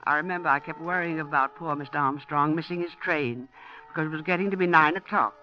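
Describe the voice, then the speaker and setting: An actress playing an older landlady who narrates and scolds in a brisk, old-fashioned way, in 1940s radio acting on a lo-fi old broadcast. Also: low voice, soft background music, lightly acted. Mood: narrating.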